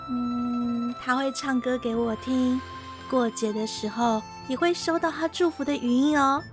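A woman's voice: high voice, steady tone, measured pace, soft background music. A woman playing a gushing, starstruck young daughter with a Taiwanese accent in a comedic audio drama. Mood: dreamy, gushing.

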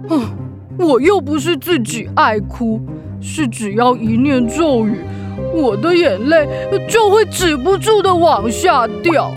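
High-voiced, flustered grumpy witch. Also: lively intonation, slow pace, music in the background. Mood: huffy, defensive. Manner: hesitant, huffy, stammering. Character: grumpy, flustered crybaby witch aunt, secretly kind. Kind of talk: children's audio drama acting.

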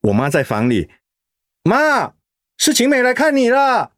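A man voicing a dutiful middle-aged son and schoolteacher in a radio drama, speaking in a steady, subdued way. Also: very high voice, measured pace, dry recording, studio quality, full character voice. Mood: warm.

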